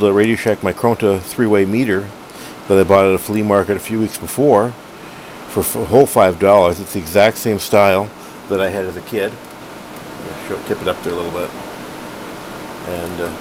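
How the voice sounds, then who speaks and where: Low-voiced man, halting demo, noisy room. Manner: halting, rambling, self-correcting. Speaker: hobbyist collector reviewing a radio. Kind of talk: casual unscripted product demo. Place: room at home, indoors. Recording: noisy room with steady background hum.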